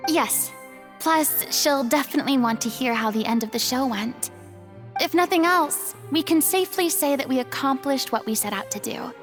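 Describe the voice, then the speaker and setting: Woman playing a high-voiced ex-diva, theatrical, flustered, music behind. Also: normal speed, close to an everyday voice. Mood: lively. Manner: theatrical, flustered, slips into whispers when musing. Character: young female former stage star, proud yet flustered. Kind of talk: video game voice acting.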